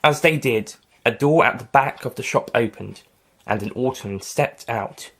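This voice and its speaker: A man's voice: mid-range voice, measured pace, a bit bright, slightly rough. A man narrating his own fan audio story in a plain storybook reading. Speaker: writer and reader.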